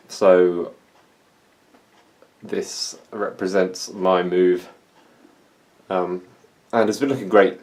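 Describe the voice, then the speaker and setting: A young man with an English accent, from England, chatting casually and poking fun at himself in a plant vlog. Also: sits low. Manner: self-mocking, dry humor. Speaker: plant vlogger.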